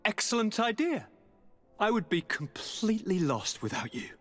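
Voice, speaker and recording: An actor playing an affable, courtly young aristocrat governor in a video game, with an airy, theatrical manner. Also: male voice, high voice, sing-song, moderate pace, a bit bright, faint music behind, exaggerated voice. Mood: affable, fond. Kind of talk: video game cutscene dialogue.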